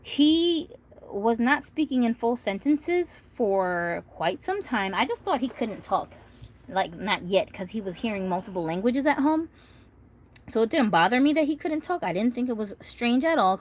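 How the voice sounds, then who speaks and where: A woman's voice: medium pitch, dull audio. A woman in her thirties whose first language is Korean, hosting a casual livestream in a chatty, rambling way and reading out viewer comments. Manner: chatty, rambling, frequent fillers. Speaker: doll collector vlogger.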